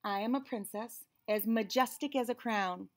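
Expressive higher-voiced American woman reading aloud. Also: normal speed. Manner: expressive, animated storytelling for young children. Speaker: read-aloud host and teacher. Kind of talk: children's picture book read-aloud with asides. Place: small indoor room with shelves and cabinets.

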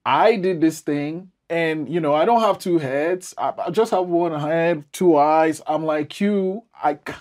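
A man's voice: a little high, unhurried, hardly any pauses, a little mellow. A man in his thirties or forties chatting informally as a podcast host, often repeating phrases for emphasis. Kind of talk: casual interview chat. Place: small studio, seated indoors.

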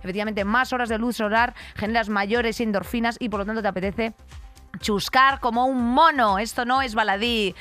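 A young woman with a Castilian Spanish accent co-hosting a podcast, chatting comically in a brash, slangy, animated manner into a close studio microphone. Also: fairly high pitch, a little fast, faint music behind. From Spain. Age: young adult. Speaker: podcast co-host. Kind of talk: casual comic chat.

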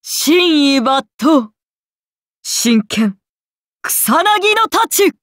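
A woman's voice: sits high, very animated, very slow, a bit bright, dry recording, clean studio sound, exaggerated voice. A woman voicing a solemn, menacing serpent goddess in a game, declaiming grand, archaic incantations.

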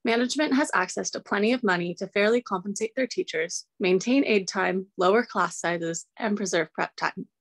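A woman's voice: medium pitch, normal speed, hardly any pauses, no echo. A young woman, a college student, earnestly reading a prepared public comment over a video call. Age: young adult.

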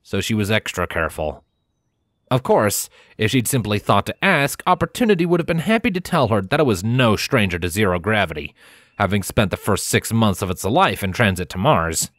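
A man's voice: on the low side, very animated, normal speed, a bit bright, no echo, clean studio sound. A man narrating a scripted story as an audiobook-style reader.

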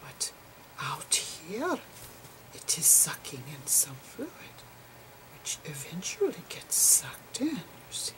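A woman's voice: low-pitched, big pitch swings, exaggerated voice, low-quality recording. Woman putting on a whispering, eccentric old physicist. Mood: patient, explaining. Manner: halting, whispery, murmured explanations with quaint formal phrasing. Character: gentle eccentric old male physicist, Einstein impression. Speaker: physics lecturer. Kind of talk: ASMR role-play lecture.

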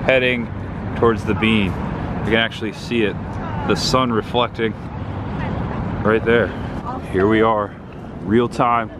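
A man in his thirties narrating a casual walking tour to his camera, chatty and easily excited as he points things out. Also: on the low side, unhurried, long pauses. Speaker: vlogger. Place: busy city park and streets outdoors. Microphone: handheld camera at arm's length. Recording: loud crowd and street noise outdoors. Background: crowd chatter and traffic.

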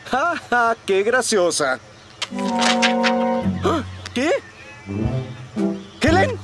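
A man's voice: very high-pitched, huge pitch swings, drawn-out words, heavily acted. A man voicing a genial, bumbling handyman father in a Latin American Spanish cartoon dub, with a theatrical delivery. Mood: flustered. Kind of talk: animated children's cartoon dub. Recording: loud music behind the voice.

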